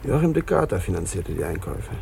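Gravelly, low-voiced bitter outsider man. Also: sing-song, fast talker, dark tone, a little hiss or hum, full character voice. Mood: subdued confession. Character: wounded Papuan man confessing, bitter, resigned, lonely outsider. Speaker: actor in radio crime drama. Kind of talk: 1980s German radio drama acting.

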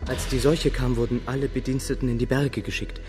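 A man's voice: medium pitch, little pitch movement, brisk, close to an everyday voice. An actor playing a respectful young servant boy in a German radio drama. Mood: deferential. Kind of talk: German radio play acting. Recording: music under the voice.

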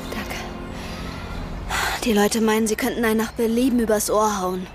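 A woman's voice: fairly high pitch, fairly even intonation, moderate pace, music in the background, natural voice. An actress playing a flighty, self-absorbed young bohemian woman in a theatrical, breezy radio drama style. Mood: dreamy, playful.

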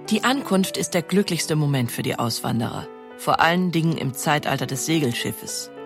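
A narrator reading an informative documentary script in a clear manner. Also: mid-range voice, lively intonation, moderate pace, music in the background.

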